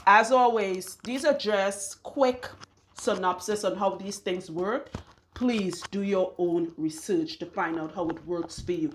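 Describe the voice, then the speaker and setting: A woman's voice: medium pitch, a little slow, slight background noise. A woman in her forties from Trinidad with a Trinidadian accent, giving candid, chatty hair care advice that wanders off on tangents. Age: 40s. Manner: candid, chatty, digressive, laughs often. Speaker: loctician and hair care vlogger. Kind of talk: casual advice monologue.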